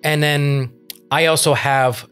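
A middle-aged man from France, native in French, talks bluntly and swears freely in a relaxed podcast chat. He sits at a desk mic in a small home studio, with soft music faintly under the talk. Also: fairly high pitch, takes it slowly, clear, resonant tone. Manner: blunt, swears casually, laughs easily. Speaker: podcast co-host. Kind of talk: casual chat.

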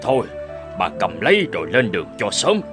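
A man's voice: fairly low pitch, wildly swooping pitch, fairly quick. A man voicing a caring old village husband in a fairy-tale cartoon. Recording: music behind the voice.

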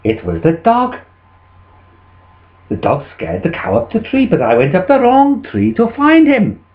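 A man's voice: sits high, wildly swooping pitch, unhurried, phone-quality sound, voice pushed up, heavily acted. A man playing a hapless, sheepish farmer making excuses in a children's story. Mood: explaining.

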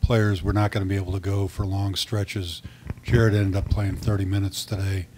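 Flat-toned male reporter. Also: deep voice, moderate pace, noisy background. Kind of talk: press conference question.

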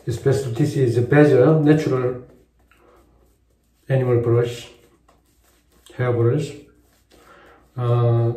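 An older Korean man with a Korean accent, talking in halting English with many pauses while shaving in a tiled bathroom. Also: mid-range voice, mellow, echoey room, rough recording. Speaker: shaving vlogger. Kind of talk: casual vlog talk while shaving. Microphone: camera in front at close range.

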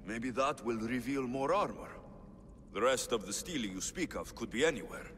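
An actor playing a stoic, wry ancient Greek mercenary with a curt, sceptical way of talking in a video game. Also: male voice, mid-range voice, normal speed, fairly bright tone, long reverb tail, slight background noise, close to an everyday voice. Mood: matter-of-fact. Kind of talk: video game cutscene acting.

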